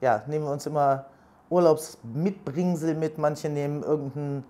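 A woman's voice: low voice, steady tone, moderate pace, mellow. A woman in her early fifties talking candidly about her relationship in an interview. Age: 50s. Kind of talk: personal interview.

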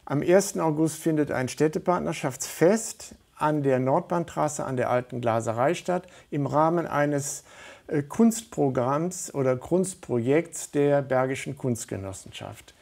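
A man's voice: fairly high pitch, unhurried, gravelly, a little mellow. A middle-aged man speaking as a guest in a studio interview, earnest and hesitant, with many fillers. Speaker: studio guest, volunteer organizer.

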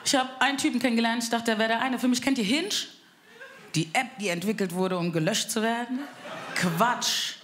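A young woman from Bavaria doing a cheeky, deadpan stand-up set on a club stage. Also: a little high, brisk. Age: young adult. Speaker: stand-up comedian. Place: live comedy club stage. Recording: live stage mic, loud crowd and room noise.

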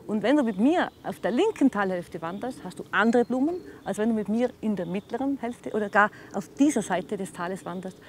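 A woman's voice: mid-range voice, lively intonation, brisk, few pauses, a little mellow, a little hiss or hum. A woman from the Kleinwalsertal with a Walser German accent, guiding a hike and explaining the landscape with enthusiasm, often adding tag questions. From Kleinwalsertal, Austria. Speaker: local hiking guide. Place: outdoors on a mountain trail. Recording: background music under the talk.

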